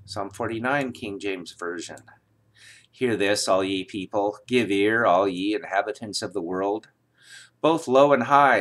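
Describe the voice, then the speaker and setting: Low-voiced man reading scripture solemnly. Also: fairly bright tone. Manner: declamatory, emphatic, measured phrasing. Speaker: scripture reader. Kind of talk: solemn dramatic Bible reading aloud.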